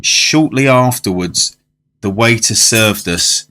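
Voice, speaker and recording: A man's voice: on the low side, slow, pushed and bright. An older man with a British accent playing a genteel, reflective diner who narrates a macabre tale in a radio drama. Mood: reflective narration. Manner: courteous, literary storytelling. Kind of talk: fan-made radio drama, first-person narration and dialogue. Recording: music under the voice.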